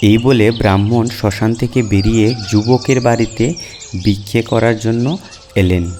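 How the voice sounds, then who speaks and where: A man's voice: lowish voice, fairly even intonation, unhurried, darkish tone, slight background noise. A man narrating a scripted folk tale for a cartoon.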